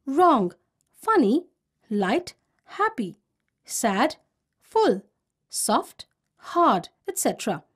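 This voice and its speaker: Slow, high-voiced woman teaching. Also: darkish tone, husky. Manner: deliberate, enunciated, word-by-word listing. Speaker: grammar teacher, narrator. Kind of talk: scripted instructional narration for children.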